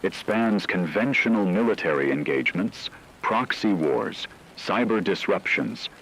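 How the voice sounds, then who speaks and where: Deep-voiced, ominous radio war correspondent played by a man. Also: animated, a little slow, a bit bright, husky, heavily acted, low-quality recording. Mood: grave, foreboding. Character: grave male radio war analyst warning of catastrophe. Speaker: news correspondent. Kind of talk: scripted emergency radio broadcast.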